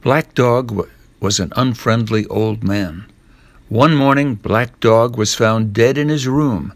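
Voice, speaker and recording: An older man narrating a storybook in a measured read-aloud manner, with the odd stumble. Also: mid-range voice, no echo.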